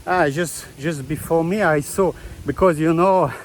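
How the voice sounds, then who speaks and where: A man from Belgium with a Belgian accent, talking to camera in a casual travel vlog, eager and chatty in halting non-native English, outdoors on rural hills. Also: fairly high pitch, a little hiss or hum. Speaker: travel vlogger.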